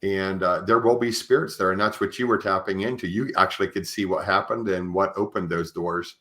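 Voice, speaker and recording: A man hosting an interview podcast, recapping and prompting his guest in a deliberate way. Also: sits low, steady tone, a bit bright. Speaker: podcast host.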